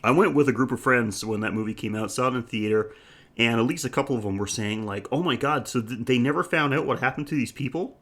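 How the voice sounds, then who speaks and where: A man's voice: mid-range voice, fast talker, a bit bright. Casual, jokey man co-hosting a podcast. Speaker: podcast co-host. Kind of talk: casual film discussion chat.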